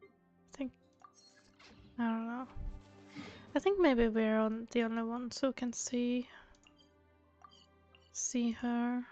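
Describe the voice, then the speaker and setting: A woman's voice: a little high, steady tone, slow, long pauses, dark tone, highs cut off, soft background music. A woman game streamer giving casual commentary, thinking aloud in an informal, self-deprecating way.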